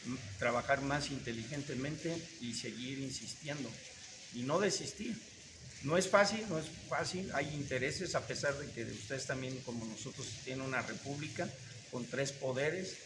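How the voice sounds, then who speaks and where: A man's voice: mid-range voice, background noise. A man with a Mexican accent, answering interview questions in earnest, repeating key words for emphasis. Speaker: interview guest, businessman. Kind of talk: casual interview.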